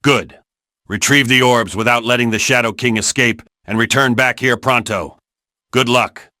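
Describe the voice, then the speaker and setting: Man playing a sharp, commanding spy director. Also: medium pitch, normal speed, no room sound, studio quality, natural voice. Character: brisk, commanding male spy director briefing heroes. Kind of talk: fan-made game mission briefing.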